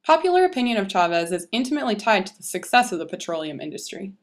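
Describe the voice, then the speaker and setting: A woman narrates from a written script in a medium-pitched voice. She presents the material as an explanatory narrator. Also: big pitch swings, fast talker.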